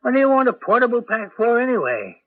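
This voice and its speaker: A doting, excitable old grandfather in a 1980s radio play. His voice sits high for a man and is rough and husky. The sound is thin and narrow, like an old broadcast. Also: fast, dry recording, exaggerated voice. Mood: grumbling, puzzled. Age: older. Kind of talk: 1980s radio drama acting. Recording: tinny, band-limited old radio broadcast.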